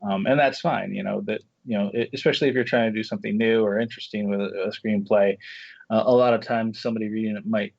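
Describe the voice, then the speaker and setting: Man, interview guest, creaky voice, dry close sound, casual, thoughtful, halting delivery, dull recording. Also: fairly low pitch, fairly even intonation, no room sound. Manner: halting, thoughtful, circles back through rephrasings. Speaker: guest screenwriter, director and producer. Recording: dull, muffled lo-fi audio.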